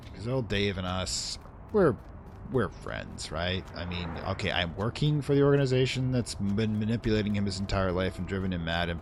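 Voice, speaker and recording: A man's voice: deep voice, noisy background. A man hosting a let's play, casually commenting in a wry, rambling way and reading in-game text aloud theatrically.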